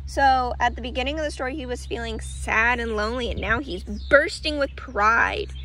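A woman's voice: a little high, sharp tone. A woman reading a children's picture book aloud in a teacherly way, explaining and asking questions. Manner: teacherly, slow and clearly paced, asking questions. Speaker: read-aloud storyteller for children. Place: outdoors on grass. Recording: outdoor ambient noise behind voice.

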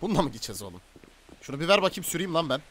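A man's voice: on the high side, big pitch swings, very fast, a bit bright. A man streaming a roleplay game, chatting casually in slangy banter and bossing his crew around. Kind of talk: casual gaming livestream chat. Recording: game car engine noise behind voice. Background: video game vehicle sounds.

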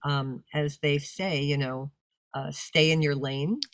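An older woman, a voice-over announcer and coach, talking casually in a video-call interview with a deep, commanding voice and a big, projecting manner. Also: steady tone, slow. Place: home room with fur-draped chair.

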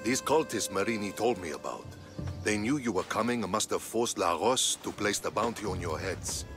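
Low-voiced, cautious soldier. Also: male voice, animated, moderate pace. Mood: grave, cautious. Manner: earnest, reasoned. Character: measured, cautious male soldier ally. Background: music.

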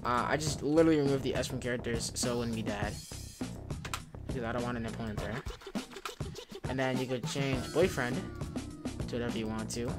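A young man giving a casual software tutorial in an informal, rambling way, often correcting himself. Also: medium pitch, steady tone, a little fast, long pauses. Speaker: tutorial presenter. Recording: music behind, keyboard clicks. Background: music, computer typing and clicks.

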